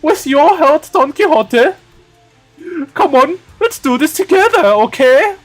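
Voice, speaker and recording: High, excitable young man, German-accented. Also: takes it slowly, faint music behind, full character voice. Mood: indignant, eager. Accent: attempted German. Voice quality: strained, high-pitched.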